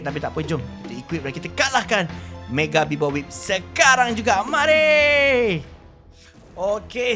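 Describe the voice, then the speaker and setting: A man with a Malaysian accent giving excited, animated gameplay commentary with roleplay, full of exclamations and drawn-out words. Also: extremely high pitch. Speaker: gaming YouTuber.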